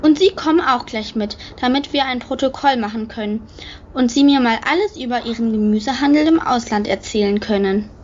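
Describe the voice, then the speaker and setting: A woman playing a brisk, practical police inspector in a home-recorded audio drama. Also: on the high side, a little mellow, a little hiss or hum, lightly acted. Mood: brisk, businesslike. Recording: slightly muffled home recording.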